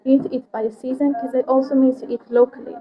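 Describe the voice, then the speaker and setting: A woman's voice: high voice, fairly even intonation, fast talker, highs cut off, a crowd in the background. A woman who grew up in Sweden, an interview guest and food project founder, explaining her ideas earnestly in simple steps. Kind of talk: casual interview conversation.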